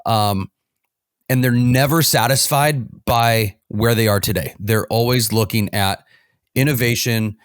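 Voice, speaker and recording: A man's voice: mid-range voice, unhurried, smooth, no room sound, clean studio sound. A man explaining things conversationally as an expert guest on a panel.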